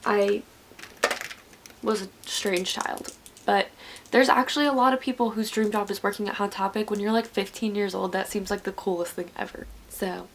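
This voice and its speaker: A woman's voice: medium pitch, fairly even intonation, moderate pace, slight background noise, poor audio. A woman in her twenties telling a story in a rambling, chatty way while getting ready. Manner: rambling, chatty with many likes. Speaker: vlogger.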